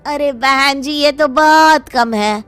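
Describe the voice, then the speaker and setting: Woman putting on a pushy cartoon shopkeeper guy, haggling, bright voice, drawn out. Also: sits high, slow pace, faint music behind. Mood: wheedling. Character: wheedling market shopkeeper, male, persistent haggler. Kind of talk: animated moral story dialogue.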